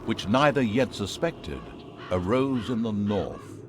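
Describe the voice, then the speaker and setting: An actor voicing a sardonic, self-mocking storybook narrator of a fantasy video game, with pompous theatrical delivery. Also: male voice, on the low side, sing-song, takes it slowly, a little hiss or hum. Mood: wry, grandiose. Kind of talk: dramatic scripted fantasy narration with tongue in cheek.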